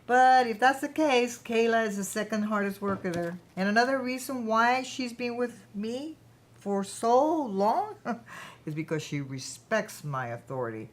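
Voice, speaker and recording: A woman's voice: fairly high pitch, animated, a little slow. A woman in a staged play reading playing a gracious, shrewd company founder. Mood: confident, fond. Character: self-assured businesswoman, company founder, gracious but shrewd.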